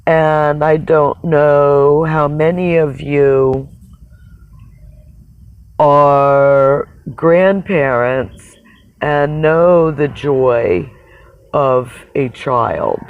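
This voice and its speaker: Slow, gravelly, raspy voice on phone-like audio. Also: mid-range voice, no room sound. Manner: halting, reflective, pausing mid-sentence. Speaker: livestreamer. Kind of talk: casual rambling livestream monologue. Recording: dull, narrow phone-like sound.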